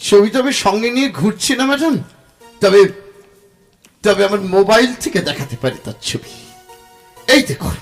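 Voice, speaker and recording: A high-pitched, scornful male skeptic. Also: expressive, normal speed, long pauses, faint music behind. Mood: challenging. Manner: scornful, theatrical, forceful. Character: angry, sarcastic male skeptic challenging a spirit medium. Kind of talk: audio drama acting.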